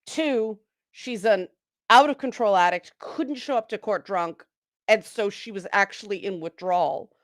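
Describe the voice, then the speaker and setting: A woman's voice: mid-range voice, unhurried, no room sound, clean studio sound. A woman speaking as a guest on a casual panel discussion, frank and opinionated.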